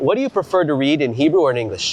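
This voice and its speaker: Deliberate, encouraging man, slightly high voice. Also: sing-song. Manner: encouraging, explains slowly and deliberately. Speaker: evangelist host. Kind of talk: casual faith conversation. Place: city street outdoors.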